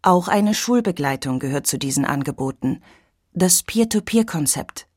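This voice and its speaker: A woman radio reporter reading scripted narration in a clear, even way. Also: fairly low pitch, normal speed, no echo, clean studio sound.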